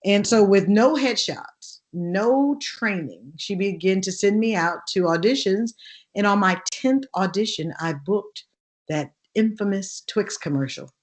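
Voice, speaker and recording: A woman with a deep contralto voice, telling stories as an interview guest in an animated way, laughing and acting out the voices of people she quotes. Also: measured pace. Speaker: actress and singer guest. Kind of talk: lively interview storytelling.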